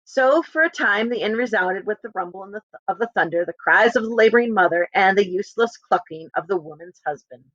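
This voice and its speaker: A woman's voice: medium pitch, expressive, fairly quick, hardly any pauses, a bit bright, no echo. Woman teacher reading aloud, slightly muffled sound. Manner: teacherly, checks in with listeners. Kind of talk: expressive read-aloud of a children's novel with asides to students.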